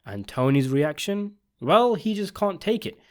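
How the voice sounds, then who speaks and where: A man's voice: high voice, sing-song, a little slow. A man delivering scripted video essay commentary on a TV series, in an emphatic, opinionated way. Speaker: video essayist and channel host.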